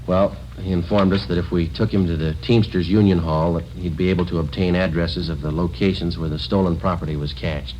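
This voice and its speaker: An actor playing a stoic, no-nonsense police sergeant in a deadpan, formal manner in a 1950s radio drama. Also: male voice, low-pitched, fairly quick, hardly any pauses, natural voice. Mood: flat, formal. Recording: muffled, noisy old radio recording.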